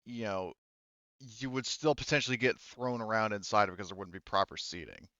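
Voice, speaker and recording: A man's voice: on the low side, fairly quick, sharp tone, no room sound, lo-fi sound. A man acting as game master, explaining tabletop rules conversationally in a rambling way.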